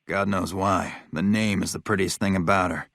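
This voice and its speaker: A blunt, low-voiced army officer. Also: male voice, steady tone, normal speed, gravelly, fairly bright tone, no room sound, clean studio sound. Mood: stern, businesslike. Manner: blunt, profane, matter-of-fact. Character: hard-bitten male military officer paying bounties, blunt, vengeful. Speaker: game character, army officer. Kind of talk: video game dialogue.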